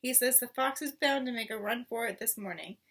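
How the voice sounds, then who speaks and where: A woman's voice: fairly high pitch, brisk, a bit bright, far from the mic. A woman voicing a huge, blunt servant woman in a storybook, who grumbles and calls out. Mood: calling out.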